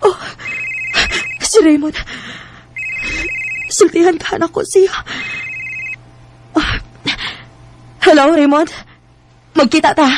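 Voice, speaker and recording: A very high-pitched woman's voice with a Cebuano Filipino accent. She is a married woman racked by guilt over two loves. The delivery is emotional and melodramatic, in the manner of Filipino radio drama. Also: slow pace, pauses often, slight background noise. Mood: panicked, tearful.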